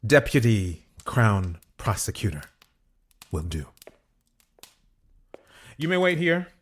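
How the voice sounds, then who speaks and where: An actor playing an ambitious, self-important crown prosecutor in an audio drama, speaking formally and with command. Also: male voice, lowish voice, sing-song, a little hiss or hum. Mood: curt. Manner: formal, imperious, deliberate.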